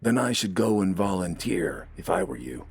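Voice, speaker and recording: A man's voice: low-pitched, big pitch swings, normal speed, vocal fry, faint music behind, exaggerated voice. A man voicing a grim, unflappable army corporal in an audio story, curt and commanding.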